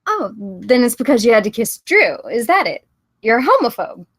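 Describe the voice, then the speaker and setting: A woman's voice: on the high side, big pitch swings, normal speed, fairly bright tone, breathy edge, dry recording, studio quality, full character voice. A woman playing a hurt, confrontational young woman in a drama table read. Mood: accusing.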